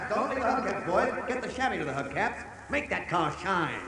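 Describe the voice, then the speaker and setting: A man's voice: high-pitched, heavily acted. An actor in a radio play voicing a boastful, cheerful American salesman father who speaks emphatically and repeats himself. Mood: jovial, encouraging.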